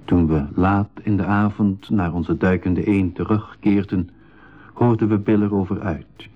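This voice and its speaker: A man acting as the narrator of an expedition adventure, telling it in a measured, formal radio drama style. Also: sits low, steady tone, highs cut off. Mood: recounting. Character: male narrator recounting his expedition adventure.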